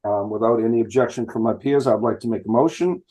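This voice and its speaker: A man's voice: mid-range voice, fast talker. A man, reading a list of license renewals aloud at a town select board meeting, with some fumbles and restarts. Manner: reads names in a flat list with fumbles and restarts. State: stuffed up from illness.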